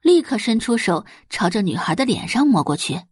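A woman's voice: medium pitch, very animated, fairly quick, breathy edge, dry recording, clean studio sound. A woman reading scripted, dramatic audiobook narration as the narrator of a story.

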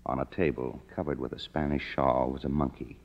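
A man's voice: a bass voice, fairly even intonation, a little fast. An actor playing a sardonic, down-on-his-luck screenwriter in a 1950s radio drama, talking colloquially. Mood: uneasy narration. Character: wry, down-on-his-luck male Hollywood screenwriter. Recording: dull old radio, long reverb.

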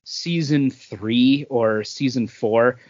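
A man's voice: medium pitch, slow pace, a little mellow. A man chatting casually as a podcast host, telling anecdotes and acting out what people said. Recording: dull, echoey room sound.

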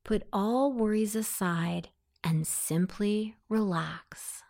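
A woman narrating a guided meditation in a soothing, deliberate, instructing manner. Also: medium pitch, gravelly, darkish tone.

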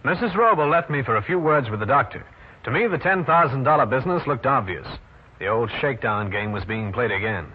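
An actor playing a tough, wry American private detective in a 1940s radio drama, clipped and dry. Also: male voice, mid-range voice, expressive, brisk, breathy edge. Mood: dry narration. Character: tough, cool, wry New York private detective man. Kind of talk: 1940s radio detective drama, first-person narration and dialogue. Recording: muffled, noisy old radio recording.

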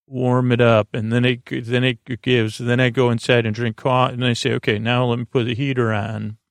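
A man with a creaky, gravelly voice hosting a sleep podcast, rambling in a lulling, halting, meandering bedtime monologue. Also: mid-range voice, little pitch movement, no room sound, clean studio sound. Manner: lulling, soothing, halting with tangents.

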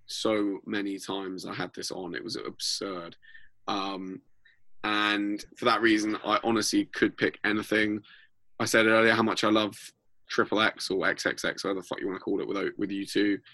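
A man co-hosting a music podcast, enthusiastic and sweary, with a slightly rough voice. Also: on the low side, little pitch movement, normal speed, bright, forceful tone, no room sound. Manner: enthusiastic, sweary, filler-heavy. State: voice a bit rough. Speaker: podcast co-host. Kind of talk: casual music podcast chat.

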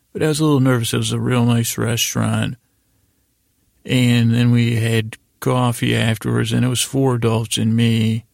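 A man's voice: medium pitch, creaky, a little mellow, no room sound, studio quality. A middle-aged American man hosting a bedtime podcast, rambling hesitantly in soothing, lulling tones. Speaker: podcast host. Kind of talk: rambling bedtime monologue to help listeners sleep.

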